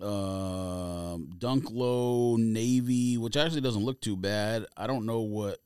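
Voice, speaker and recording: A man's voice: fairly low pitch, slow pace, darkish tone, smooth, no echo, clean studio sound. An American man co-hosting a sneaker podcast, chatting casually and offhand in slangy language as he comments. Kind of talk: casual chat, reading out a list and commenting.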